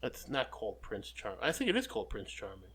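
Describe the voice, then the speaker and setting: A man with a Long Island accent co-hosting a casual chat podcast, joking and teasing, getting loud when exasperated. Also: lowish voice, huge pitch swings, fast, husky. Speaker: podcast co-host.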